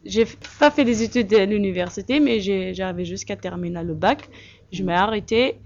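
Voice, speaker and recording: A young woman from Kabylie in Algeria, answering interview questions in a halting, plain-spoken way with frequent fillers. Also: mid-range voice, a little mellow. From Algeria (Kabylie, Tizi Ouzou). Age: young adult. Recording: muffled lo-fi recording.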